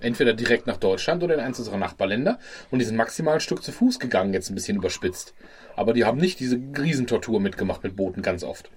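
A man's voice: fairly low pitch, lively intonation, fast talker, a little hiss or hum. A man talking casually in a group podcast discussion, rambling, with frequent restarts.

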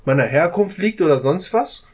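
A man's voice: sits high, expressive, moderate pace, slightly rough. A man in a casual group discussion, talking at length and often ending phrases with a questioning "ne?". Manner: talkative, often adds a questioning "ne?".

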